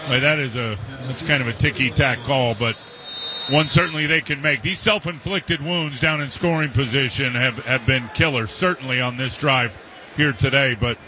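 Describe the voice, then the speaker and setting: A man's voice: medium pitch, moderate pace. An American man giving conversational, explanatory analysis as a color commentator on live football radio. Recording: radio feed with faint stadium noise.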